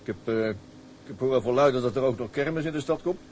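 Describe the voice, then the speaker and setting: A man's voice: medium pitch, quick pace, a little mellow, slight background noise, natural voice. An actor playing a grumbling, world-weary seaman on a tug, with a Dutch accent. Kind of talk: film drama acting.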